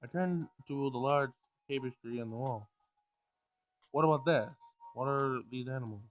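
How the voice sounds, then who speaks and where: A man's voice: mid-range voice, normal speed, faint music behind. A man reading a visual novel aloud as he plays, sometimes stumbling over words and rereading lines. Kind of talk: Let's Play read-aloud of game text.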